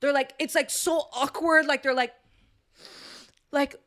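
A young woman with a fairly high voice chats as a podcast co-host. Her delivery is animated and blunt, with casual, self-mocking humor and lots of "like" between phrases. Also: unhurried. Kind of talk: casual comedic banter.

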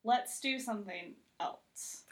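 A woman's voice: a little high, takes it slowly. A woman TV critic chatting casually as a podcast guest in an informal, wry way. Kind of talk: casual chat.

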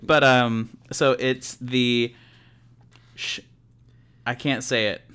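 A man's voice: mid-range voice, fairly even intonation, slow pace, a bit bright, clean tone. A man co-hosting a casual podcast, playful and joking, tripping over words. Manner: playful, laughs often, stumbles on words. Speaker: podcast co-host. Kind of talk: casual chat.

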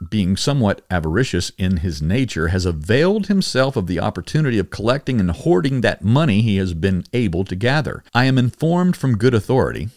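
A man reading historical letters aloud in a formal, measured, oratorical manner. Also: fairly low pitch, darkish tone, no room sound, studio quality. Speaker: reader and host.

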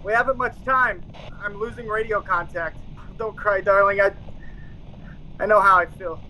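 A man's voice: high voice, fairly even intonation, moderate pace, muffled recording, natural voice. Casting: actor as lovesick male space commander, light voice, urgent, anguished radio melodrama, faintly noisy recording. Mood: urgent, devoted. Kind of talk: classic radio drama acting.

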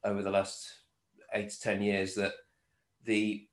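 Low-voiced host in a roomy space. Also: male voice, monotone, a little slow. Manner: thoughtful, hesitant phrasing with restarts. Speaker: podcast host. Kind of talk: casual interview chat.

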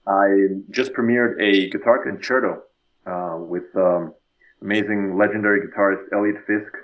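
Guest: young man from Mexico, lowish voice, eager and talkative, stumbles and repeats words. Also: highs cut off. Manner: enthusiastic, frequent restarts and repeated words. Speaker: guest composer and guitarist. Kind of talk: casual podcast chat.

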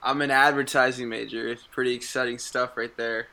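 A man's voice: medium pitch, level tone, fairly quick, vocal fry, sharp tone. A man around twenty, a college student, chatting casually on a podcast in an easygoing, laid-back way. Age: 20s. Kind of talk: casual group chat.

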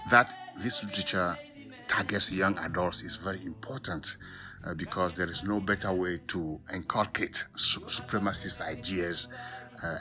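A man from Ghana and Togo with a West African accent, speaking as a professor in a formal, measured academic talk with self-corrections. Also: low voice. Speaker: professor of African literature, podcast guest.

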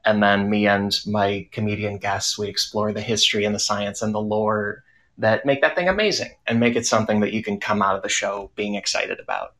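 A man's voice: fairly low pitch, steady tone, brisk, fairly bright tone. A man speaking casually as a podcaster on a panel. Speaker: podcaster and comedy writer. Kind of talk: casual self-introduction on a panel.

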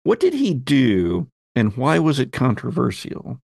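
A man narrating a tabletop roleplaying game as game master, pausing and deliberate as he sets out scenes and questions. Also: mid-range voice, very animated, gravelly, darkish tone, husky, no echo, clean studio sound.

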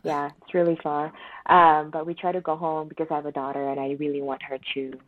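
Low-voiced woman on a tinny line. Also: little pitch movement, fast. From Malaysia. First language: Mandarin Chinese. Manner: chatty, frequent laughs and fillers. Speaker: therapist guest. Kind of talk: casual interview conversation. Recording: dry, tinny phone-like line.

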